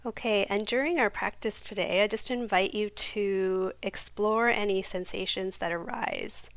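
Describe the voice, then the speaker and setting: A woman guiding a yoga nidra meditation in a slow, soft, soothing voice that sometimes drops to a near whisper. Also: mid-range voice, dry recording. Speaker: meditation guide.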